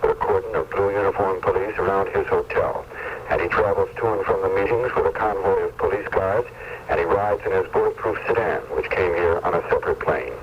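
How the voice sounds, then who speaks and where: A man's voice: sits low, brisk. A man delivering a scripted radio report as a foreign news correspondent. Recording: muffled noisy AM radio cassette recording.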